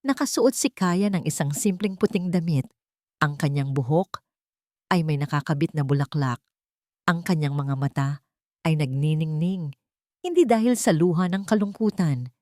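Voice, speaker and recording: A woman narrating a romance story in a gentle, measured, heartfelt way; she is the writer of the story. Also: fairly low pitch, no echo, studio quality.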